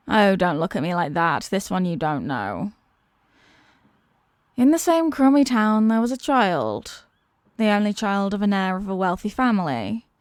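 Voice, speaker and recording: Woman voicing a possessing demon villainess, menacing, mocking, unhurried dramatic delivery, mid-pitched, fantasy audio drama. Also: a little mellow, dry recording, clean studio sound. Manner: theatrical, taunting, deliberate dramatic pauses.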